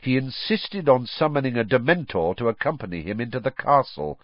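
A man voicing a cold male professor who speaks in a low voice. The recording is dry and slightly dull. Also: sing-song, a little fast, dry recording.

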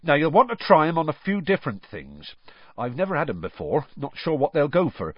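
A man as big gruff man, storybook teacher, proud and enthusiastic, folksy, husky, lively pitch. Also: a little slow, dry recording, highs cut off. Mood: rough, instructing. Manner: rough, folksy, dropped words.

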